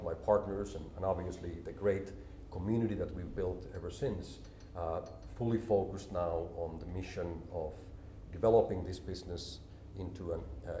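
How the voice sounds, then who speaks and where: A man, a company CEO explaining his work calmly and in a measured way in an interview. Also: deep voice, slight background noise. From Finland. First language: Finnish. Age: 40s. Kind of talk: business interview. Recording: roomy echo, faint ambience.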